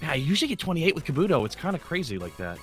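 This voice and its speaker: A man playing a tabletop role-playing game, chatting casually and reacting in an excitable, exclamatory way. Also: a little high, very fast. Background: music.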